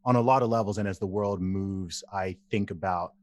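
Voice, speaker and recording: A man's voice: low-pitched, fairly even intonation, moderate pace. A man in his thirties from England, a podcast guest who tells stories animatedly, repeats phrases and laughs easily. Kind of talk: casual interview conversation.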